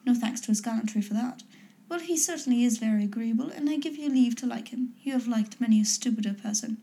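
A woman voicing a lively, playful young woman who speaks in a teasing, witty way. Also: fairly high pitch, fast, lightly acted.

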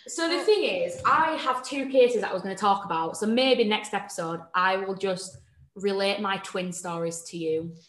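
A woman's voice: mid-range voice, moderate pace, a bit bright. A woman co-hosting a podcast, teasing and laughing in colloquial slang. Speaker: podcast co-host. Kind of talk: casual banter. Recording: roomy echo.

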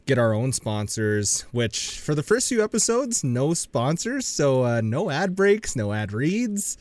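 A young man co-hosts a laid-back podcast, dropping dry jokes between plainer talk. His voice sits at a middle pitch, recorded close and dry with no room sound. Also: sing-song, measured pace, darkish tone, no echo. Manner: dry, joking asides. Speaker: podcast co-host. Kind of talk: casual podcast chat.

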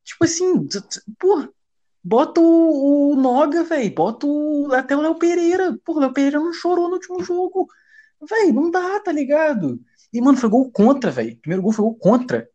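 A man from Brazil hosting a casual football podcast, talking in heavy slang with frequent swearing and rising to loud, agitated rants. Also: very high-pitched, sing-song, brisk, highs cut off. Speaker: podcast host. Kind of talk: heated casual football chat.

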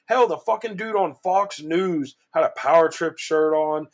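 30 yr old guy on a podcast, kinda high voice, swears, gets hyped. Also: measured pace. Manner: profane, excitable. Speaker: podcast co-host. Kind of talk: casual podcast chat.

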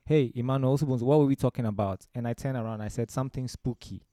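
A man's voice: medium pitch, quick pace, dark tone, no echo, clean studio sound. A man with a Ghanaian accent, a musician telling anecdotes in a discursive way as an interview guest. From Ghana, born in Romania. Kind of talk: conversational interview storytelling.

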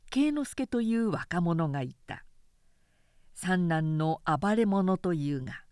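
Slow, expressive woman narrator. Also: medium pitch, no room sound, studio quality. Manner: measured, expressive storytelling delivery. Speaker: narrator reading a short story aloud. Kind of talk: scripted literary narration, audiobook recitation.

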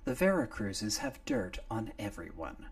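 A man's voice: lowish voice, huge pitch swings, unhurried, creaky, far from the mic, voice pushed up, exaggerated voice. A man voicing a cool, terse female killer who speaks in a plain, clipped way.

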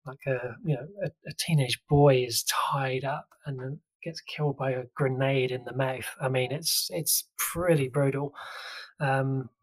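A man's voice: mid-range voice, steady tone, a little slow, poor audio. A man co-hosting a podcast, casually reviewing a TV series, talking loosely with plenty of fillers and restarts. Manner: chatty, full of fillers and restarts. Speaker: podcast co-host.

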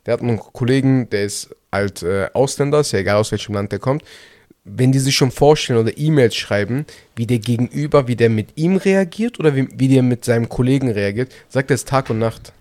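A man's voice: mid-range voice, brisk, a little mellow, no echo, clean studio sound. A young man co-hosting a casual podcast, probing his partner with pointed, sometimes teasing questions. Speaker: podcast co-host.

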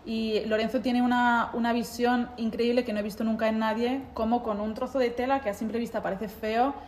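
A young adult woman with a Spanish accent touched by Italian, telling animated stories as an interview guest. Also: fairly high pitch, a little fast. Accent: Spanish with Italian mixed in. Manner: animated storytelling, acts out quoted voices. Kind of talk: casual conversational interview. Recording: echoey room, faint background noise.

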